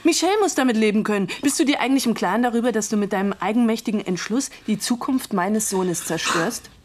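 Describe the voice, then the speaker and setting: A woman's voice: fairly high pitch, quick pace, close to an everyday voice. An actress in a German radio drama playing a cutting, sarcastic, middle-aged mother who defiantly shields her son. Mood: angry, scornful.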